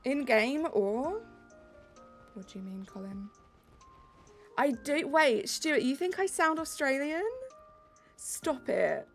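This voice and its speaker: A woman's voice: high-pitched, lively intonation, slow pace, long pauses. A British woman streaming a game, chatting casually and reading out her viewers' messages in a bubbly way. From Britain. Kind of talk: casual live-stream chat, reading chat aloud. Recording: light music behind the voice.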